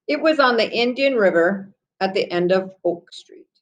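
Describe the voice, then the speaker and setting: A woman reading a children's story aloud with deliberate storytelling phrasing. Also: medium pitch, expressive.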